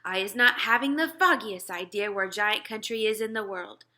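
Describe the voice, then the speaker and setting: A woman's voice: medium pitch, normal speed, sharp tone, close to an everyday voice. A woman voicing a friendly, patient giant with muddled, folksy grammar and invented words, reading from a children's storybook. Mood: instructive. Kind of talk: children's storybook read-aloud.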